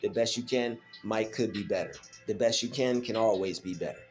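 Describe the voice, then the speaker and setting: Guy with preaching positivity on his own channel, repeats himself a lot, lowish voice. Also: a little fast, faint music behind. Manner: emphatic, repeats phrases, many fillers. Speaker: channel host. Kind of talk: casual faith vlog monologue. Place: alone at home.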